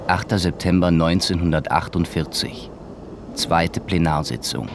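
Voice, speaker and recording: An actor playing a matter-of-fact session announcer, giving terse, factual documentary announcements. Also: male voice, low voice, unhurried. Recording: clearly noisy background.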